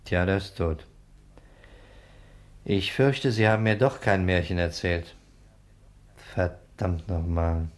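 An actor playing a brusque, authoritative police inspector in a 1960s German radio crime drama, brisk and commanding. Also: male voice, low voice, fairly even intonation, no room sound. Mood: grim. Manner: brisk, commanding, matter-of-fact. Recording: faintly noisy 1960s radio drama recording.

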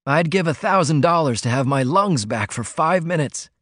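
A man's voice: a little high, lively intonation, moderate pace, a bit bright, dry recording, clean studio sound, pitched above the performer's own voice. A man voicing a sickly, dying man with a sardonic, solemn, goading manner in a storybook reading. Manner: solemn, meditative, urging.

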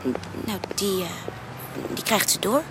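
An earnest woman acted in a radio play, at a medium pitch, halting as she gropes for words and sometimes drops into breath. Clear ambient noise sits behind her. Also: lightly acted. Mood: hesitant, earnest. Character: hesitant, earnest woman recommending a spiritual helper. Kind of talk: radio drama acting.

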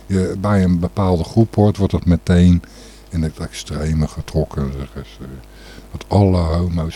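A man's voice: low-pitched, warm tone, slight background noise. A man the hosting a radio show with casual, rambling, blunt and opinionated talk.

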